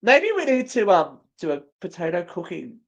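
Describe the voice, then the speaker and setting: A woman with a slightly low voice, co-hosting a casual live chat. Her pitch swings widely as she jokes. She is theatrical and swears freely. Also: big pitch swings, normal speed, vocal fry. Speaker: co-host of a live show. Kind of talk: casual banter on a livestream.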